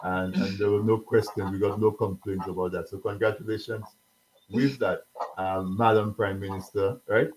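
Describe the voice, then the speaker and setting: Low-voiced male show host. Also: fairly even intonation, normal speed, darkish tone, poor audio. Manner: eager, talkative, jumps in with questions. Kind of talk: casual interview chat.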